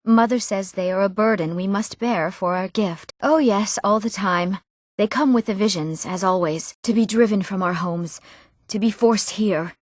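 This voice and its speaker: Woman acting as a young townswoman, fantasy game dialogue, eager, earnest, medium pitch, expressive, very dry close sound. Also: moderate pace, dry recording, natural voice. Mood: earnest, wistful. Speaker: video game voice actor.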